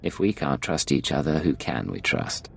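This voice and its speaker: A man's voice: very deep voice, big pitch swings, moderate pace, creaky, husky, full character voice. A male actor playing a curt, menacing imperial secret agent in a video game, with a cool, clipped delivery. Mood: cool, probing. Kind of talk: scripted game cutscene dialogue.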